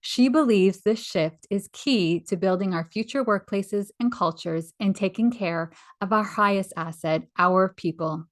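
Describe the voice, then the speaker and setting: Woman, podcast host, upbeat, gracious, medium pitch. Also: unhurried, hardly any pauses. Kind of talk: podcast interview.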